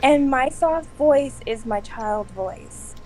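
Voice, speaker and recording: A woman with a soft voice chatting casually on a video call, laughing often as she tells stories. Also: sits high, animated, takes it slowly, a little hiss or hum, low-quality recording. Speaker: stream host. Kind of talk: casual group chat.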